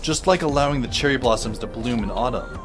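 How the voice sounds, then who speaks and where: A man's voice: medium pitch, quick pace, lightly acted. Man playing a wry teenage boy narrator over music. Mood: reflective. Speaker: narrator and lead character. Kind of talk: first-person audio drama narration. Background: music under the voice.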